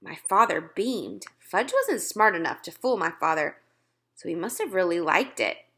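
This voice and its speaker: A woman's voice: medium pitch, bright, forceful tone. A woman storyteller reading a children's book aloud as scripted narration.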